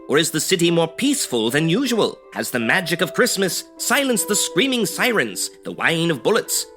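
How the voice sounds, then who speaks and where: Casting: male actor as a suspenseful vintage serial narrator, very animated, over prominent music. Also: high-pitched, full character voice. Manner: theatrical, suspenseful. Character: dramatic male announcer of an old-time superhero serial. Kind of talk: old-time radio narration. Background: loud music.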